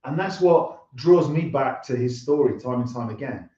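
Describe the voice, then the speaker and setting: A man's voice: medium pitch, lively intonation, moderate pace, dark tone. A man in his fifties chatting casually as an interview guest, earnest and explanatory. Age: 50s. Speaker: singer-songwriter and interview guest. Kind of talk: casual chat. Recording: roomy, distant, dull lo-fi sound.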